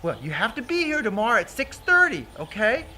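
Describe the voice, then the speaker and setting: A man's voice: very high voice, sing-song, fairly quick, sharp tone, slight background noise, full character voice. A man voicing a big, welcoming male field mouse in a children's story.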